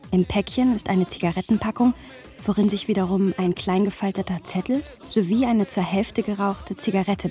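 An actress playing a thoughtful, passionate literary young woman in a radio drama, speaking in reflective, earnest, measured phrases. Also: mid-range voice, dark tone, lightly acted. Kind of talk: radio drama narration and dialogue.

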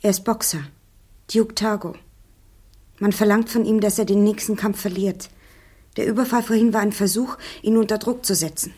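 An actress plays a young woman in trouble in a radio crime drama. Her voice is dark and mellow at a middling pitch. She speaks in guarded, curt phrases. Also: fairly even intonation, normal speed, natural voice.

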